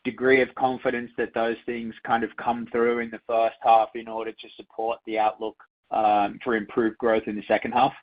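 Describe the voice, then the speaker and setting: A man's voice: mid-range voice, monotone, moderate pace. Male analyst on dull call audio. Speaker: financial analyst caller. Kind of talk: earnings call questions. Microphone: conference call line.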